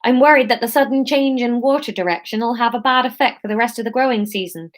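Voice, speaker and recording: A woman's voice: fairly high pitch, quick pace, pitched above the performer's own voice, close to an everyday voice. A woman voicing a friendly female market seller with a put-on Somerset accent and homely sales patter. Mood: cheery selling.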